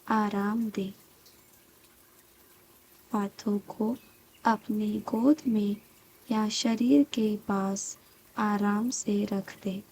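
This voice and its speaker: A woman guiding a scripted meditation in a soft, breathy voice, calm and soothing, with long pauses between short phrases. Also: mid-range voice, a little hiss or hum, poor audio. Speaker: meditation guide. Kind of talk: slow guided meditation, scripted.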